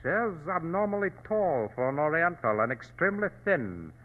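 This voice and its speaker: An actor playing a dignified, ruthless provincial governor from China, courtly and formal, in 1940s radio drama style. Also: male voice, a little high, sing-song, moderate pace. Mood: determined. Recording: thin, narrow-band old radio recording.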